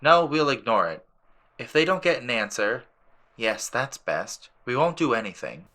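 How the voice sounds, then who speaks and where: A man voicing a gruff, blustering middle-aged uncle with a furious temper in an audiobook drama. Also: medium pitch, very animated, measured pace, bright, forceful tone, voice pushed up. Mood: wild muttering. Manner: snapping, sneering, croaking, roaring. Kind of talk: audiobook drama character acting.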